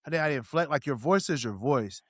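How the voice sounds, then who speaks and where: A man's voice: mid-range voice, lively intonation, fast talker, breathy edge, dry recording. Guy on a podcast, slangy and emphatic, repeats himself a lot, mid voice, dry close sound. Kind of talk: casual chat.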